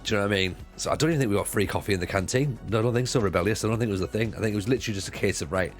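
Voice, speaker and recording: A British man with a croaky voice, chatting casually to viewers on a live stream while playing a football management game, rambling and repeating himself. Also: fairly low pitch, racing, soft background music. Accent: British English.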